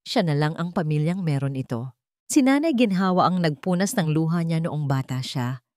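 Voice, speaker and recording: A woman narrates a dramatic romance story in a fairly low voice. The recording is dry and close, with little room around her scripted storytelling. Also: expressive, normal speed, darkish tone, no room sound.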